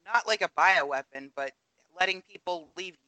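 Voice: mid-range voice, normal speed, sharp tone, dry recording, low-quality recording. A podcast co-host in casual political chat, speaking bluntly and emphatically. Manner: blunt, emphatic lists of claims.

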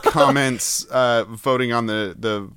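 A man's voice: mid-range voice, takes it slowly, a bit bright, clear, resonant tone, dry recording. A man co-hosting a comedy podcast, reading out viewer comments and arguing jokingly. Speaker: podcast co-host. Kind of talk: casual comedic chat, reading comments aloud.

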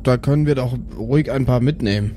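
A man's voice: mid-range voice, a little fast, warm tone, faint music behind. A man improvising casually as a player in a tabletop role-play audio drama.

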